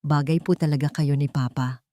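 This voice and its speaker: A woman's voice: low-pitched, fairly even intonation, normal speed, dark tone, dry recording, lightly acted. A woman voicing a sweet, affectionate, eager little child in a storybook narration. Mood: happy, tender.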